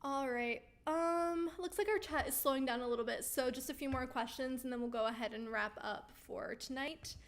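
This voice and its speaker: A woman's voice: high-pitched, moderate pace, few pauses. A woman hosting a weekly live question-and-answer stream, chatting casually and reading viewer comments aloud while she loses her voice. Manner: chatty and upbeat, with frequent ums and easy laughs. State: losing her voice.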